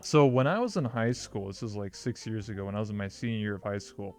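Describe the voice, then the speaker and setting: A young man with a smooth, clear, lowish voice tells a story in a loose, rambling way, filling it with "like." Faint music plays in the background. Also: fast talker. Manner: rambling storyteller, heavy use of "like". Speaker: interview host. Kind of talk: casual chat.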